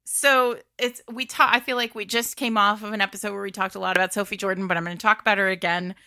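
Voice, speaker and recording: A woman hosting a casual book chat, telling stories in an animated way with playful asides. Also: mid-range voice, fast talker, clear, resonant tone, no echo, clean studio sound. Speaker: podcast host.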